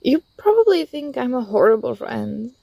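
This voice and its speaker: Woman playing teasing young female friend, soft ASMR roleplay, gentle, coaxing, mellow tone, close dry sound. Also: a little high, sing-song, moderate pace, gravelly, breathy edge, dry recording, full character voice. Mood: surprised, guilty.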